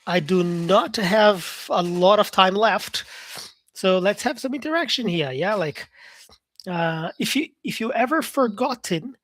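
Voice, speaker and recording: A man's voice: high-pitched, lively intonation, measured pace, a bit bright, no echo. A man teaching English on a live stream, chatting casually with viewers and reading out their comments, with many fillers.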